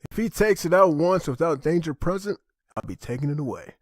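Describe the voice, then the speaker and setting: Male actor as earnest survivor dad, protective, animated pitch, dry recording, comic dub drama. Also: a little fast, no echo. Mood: firm, announcing. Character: earnest, protective father leading apocalypse survivors. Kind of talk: amateur comic dub acting.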